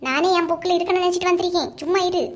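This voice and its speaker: A woman's voice: extremely high pitch, steady tone, racing. A woman voicing touchy, bickering village women in a comedy cartoon, scolding and grumbling in Kanyakumari Tamil slang.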